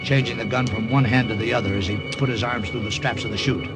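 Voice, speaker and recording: An actor playing an excitable, slangy American airman who tells his war story in a dramatic old radio thriller style. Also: mid-range voice, natural voice. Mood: tense recounting. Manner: dramatic, slangy, halting under strain. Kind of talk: 1940s-50s radio drama narration and acting. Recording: old-time radio recording with music.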